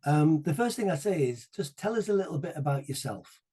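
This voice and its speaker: A man hosting an interview, talking in a halting way with frequent repeats and throat-clearing. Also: on the high side, big pitch swings, warm tone. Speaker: interview host. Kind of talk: conversational interviewing.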